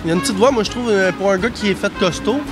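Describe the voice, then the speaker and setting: Fast-talking young Québécois man. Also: sits high, music in the background, low-quality recording. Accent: Québécois French. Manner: blunt, quick. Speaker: passerby. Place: indoor shopping mall.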